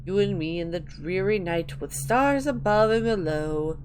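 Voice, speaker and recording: A woman's voice: lowish voice, close to an everyday voice. Woman voicing a crooning, eerie old granny, noisy recording. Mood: eerie, wistful. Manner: slow, wistful rambling with drawn-out endearments. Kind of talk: dramatic reading of video game lore.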